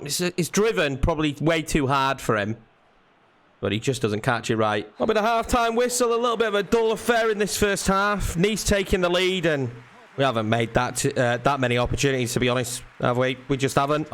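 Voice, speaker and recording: Excitable young man commentating a football game. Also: a little high. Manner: excitable play-by-play, rising sharply on chances. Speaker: gaming YouTuber. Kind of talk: live commentary over a football video game.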